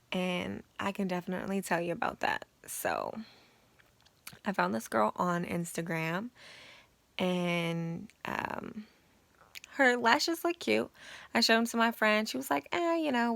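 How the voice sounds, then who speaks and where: A young woman vlogging about her beauty routine in a casual, chatty way, with a raspy voice and lots of fillers. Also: medium pitch, unhurried, no room sound. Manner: chatty, relaxed and informal, with many fillers. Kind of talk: casual chat to camera.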